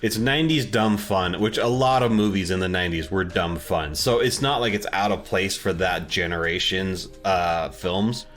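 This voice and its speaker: A man with a fairly low voice co-hosts a podcast in a casual, chatty style. He gives his opinions informally and with enthusiasm. Faint music plays behind him. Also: normal speed, few pauses, fairly bright tone. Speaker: podcast co-host. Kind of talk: casual chat about movies.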